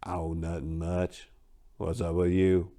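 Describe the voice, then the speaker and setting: A man voicing a laid-back deadbeat boyfriend in a dramatic story reading, with a flat, low-key drawl. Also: takes it slowly. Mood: deadpan.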